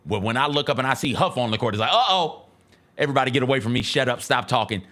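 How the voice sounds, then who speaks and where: A man with an American accent co-hosts casual sports talk in a studio. His voice is animated, swinging widely around a medium pitch. He leans on emphasis and repeats phrases to drive his point home. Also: quick pace. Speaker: sports talk show co-host. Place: radio-style studio.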